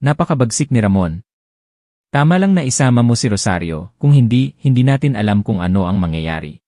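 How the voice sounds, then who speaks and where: A man voicing a kindly, worried old grandmother in an audiobook story, confiding gently and sighing. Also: mid-range voice, very animated, brisk, no echo, clean studio sound.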